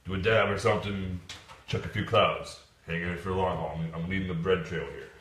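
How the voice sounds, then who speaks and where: A man's voice: sits low, steady tone, brisk, a bit bright, slight background noise. A man hosting a casual podcast, rambling and swearing, laughing often. He is from Canada. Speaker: podcast host. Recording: roomy echo, distant mic.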